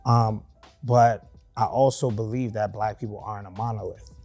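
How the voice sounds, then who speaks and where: A young man with a heavy New York accent talks through his view in a relaxed, conversational way. He keeps checking in with the others as he goes. Faint music sits behind him. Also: fairly low pitch, moderate pace, breathy edge. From Canarsie, Brooklyn, New York. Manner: conversational, frequent "right?" check-ins. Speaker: panelist. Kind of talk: casual discussion.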